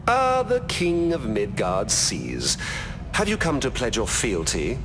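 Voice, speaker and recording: A man's voice: mid-range voice, wildly swooping pitch, takes it slowly, background noise, exaggerated voice. A man playing a scheming, arrogant trickster god-king in a video game, pompous and mocking. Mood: smug, mocking.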